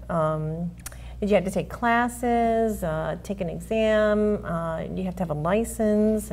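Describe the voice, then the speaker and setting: A woman's voice: mid-range voice, vocal fry, darkish tone. A woman hosting a talk show, asking her guest chatty, encouraging strings of questions. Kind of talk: interview hosting.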